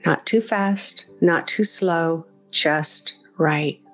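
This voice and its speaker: A woman leading a guided meditation as a teacher, speaking off the cuff in a gentle, encouraging, conversational way. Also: sits low, very slow, faint music behind.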